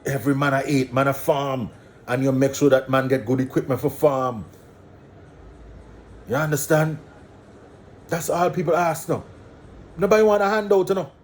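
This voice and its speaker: A man's voice: medium pitch, moderate pace, long pauses, slight background noise. A man from Antigua with an Antiguan accent, talking his views in a casual, preachy monologue, repeating phrases for emphasis.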